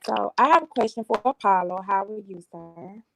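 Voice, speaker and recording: Soft-voiced woman caller on a staticky phone. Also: mid-range voice, gravelly. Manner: polite, quick. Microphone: phone on speakerphone. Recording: staticky noisy phone line.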